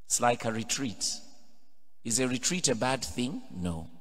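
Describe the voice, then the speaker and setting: A middle-aged Ugandan priest preaching a homily from a pulpit microphone, switching between English and Luganda, building to emphatic, loud exhortations. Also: mid-range voice, sing-song, unhurried. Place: large cathedral, indoors at a pulpit. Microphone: pulpit microphone on a stand. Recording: long cathedral reverb.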